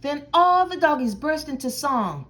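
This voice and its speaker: A woman narrates a children's storybook in a high, very animated voice. She phrases theatrically and takes her time over the words. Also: unhurried. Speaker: storybook reader. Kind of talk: expressive children's read-aloud narration.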